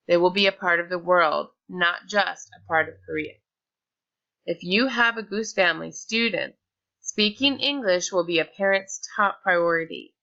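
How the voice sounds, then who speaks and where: Woman presenter, bright tone, lo-fi mic, reads her script with small restarts. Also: medium pitch. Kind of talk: read-aloud slide presentation.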